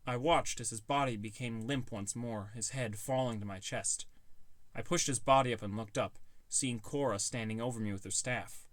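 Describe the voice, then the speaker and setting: A man's voice: mid-range voice, moderate pace, no room sound. A man reading a scripted first-person fantasy story as an audiobook narrator.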